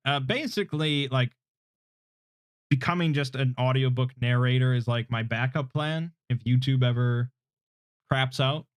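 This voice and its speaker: A calm man in his thirties chats through viewer questions from home, hedging and joking at his own expense. His voice is relaxing and mid-pitched. The desk mic sounds a little muffled, in a dry room with no echo. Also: measured pace, clear, resonant tone. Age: 30s. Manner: relaxed, hesitant, self-deprecating, many fillers. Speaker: YouTube host answering viewer questions. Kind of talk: casual live Q&A chat. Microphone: desk microphone without his usual equalizer, slightly muffled.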